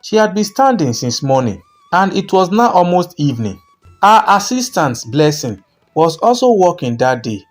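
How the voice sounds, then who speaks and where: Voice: mid-range voice, sing-song, moderate pace. A narrator telling a scripted folktale in a dramatic storytelling style.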